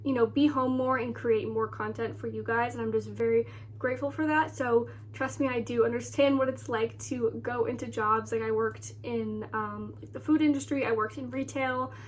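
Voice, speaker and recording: A woman's voice: on the high side, steady tone, moderate pace, slight background noise. An American woman in her early twenties chatting casually to viewers as a vlogger, rambling along with a slightly congested, nasal voice. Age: early 20s. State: congested with sore throat. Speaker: lifestyle vlogger.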